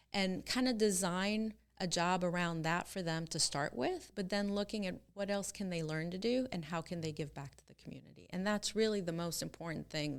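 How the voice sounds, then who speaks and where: A middle-aged woman from Israel, a company co-founder, talking earnestly and in a measured way as a guest in a casual interview. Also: mid-range voice.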